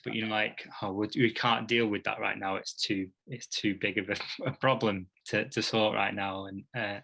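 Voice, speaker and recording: Guy hosting a chatty podcast, lowish voice w lots of fry, crisp edge, emphatic. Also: bright, forceful tone. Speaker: podcast host. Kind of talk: casual podcast discussion.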